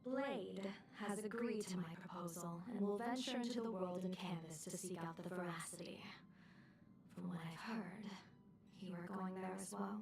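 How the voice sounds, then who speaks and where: An actress playing a calm, resolute fortune-telling strategist in a game, speaking in measured, formal lines. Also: female voice, medium pitch, gravelly, recorded from a distance, a crowd in the background, lightly acted. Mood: measured, grave. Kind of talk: scripted game dialogue.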